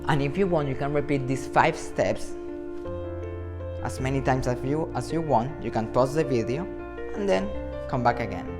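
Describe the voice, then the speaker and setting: A young Venezuelan man speaks straight to camera, animated and playful, acting out imagined back-and-forth lines. His voice sits at a medium pitch, and music plays clearly underneath. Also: moderate pace. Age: 20s. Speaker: motivational speaker. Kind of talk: talk to camera.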